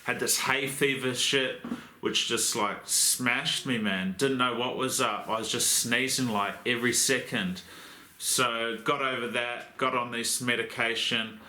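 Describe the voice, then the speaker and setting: A man's voice: medium pitch, steady tone, unhurried, sharp tone, roomy sound. A young Australian man with a lisp from new braces, hosting a casual how-to vlog about crane rigging, joking and swearing in a blokey, rambling way. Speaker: crane rigger and channel host.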